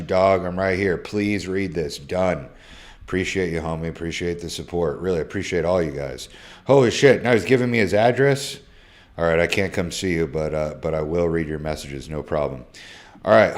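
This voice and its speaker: A deep-voiced man in his thirties, talking loose and slangy with plenty of swearing. He reads out viewer messages on a live stream from his phone and answers them one after another, sometimes breaking into sudden loud bursts. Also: lively intonation, moderate pace. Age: 30s. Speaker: social media creator. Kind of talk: casual live stream, reading DMs aloud.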